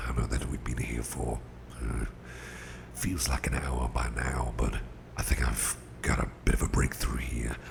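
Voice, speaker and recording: A man playing a gruff but tender, protective boss in an ASMR roleplay, murmuring soothingly in a gravelly, breathy half-whisper. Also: very deep voice, noisy background, exaggerated voice. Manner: soothing, unhurried, folksy, murmured. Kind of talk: ASMR roleplay, intimate personal attention.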